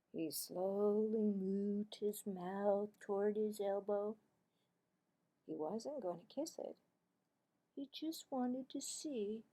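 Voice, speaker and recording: A woman reading a children's story aloud in an expressive, deliberate way, with the odd stumble over words. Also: fairly high pitch.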